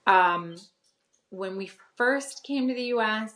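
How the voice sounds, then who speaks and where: A woman's voice: medium pitch, slow, fairly bright tone. A middle-aged woman from Costa Rica, raised in the US, answering interview questions in a thoughtful way with many fillers and restarts. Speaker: guest, theology professor. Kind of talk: conversational interview.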